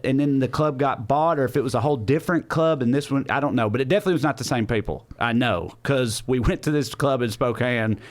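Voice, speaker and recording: A man with a mid-range voice. He talks like a comedian hosting a podcast, casually spinning stories. His sentences ramble and loop back, with some offhand swearing. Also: medium pitch. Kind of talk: casual comic storytelling.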